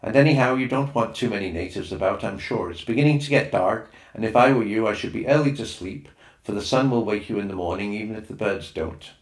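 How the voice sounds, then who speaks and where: A man voicing a kindly, brisk mother who playfully poses as a native in a storybook. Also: lowish voice, close to an everyday voice. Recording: roomy, echoing room sound.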